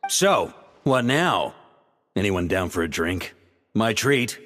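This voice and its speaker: A man's voice: on the low side, sing-song, slow, fairly bright tone, heavily acted. A man playing a carefree, roguish young drinker in a video game, playful, teasing and theatrical. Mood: jovial, amused. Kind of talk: video game cutscene acting. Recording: long hall reverb, music behind.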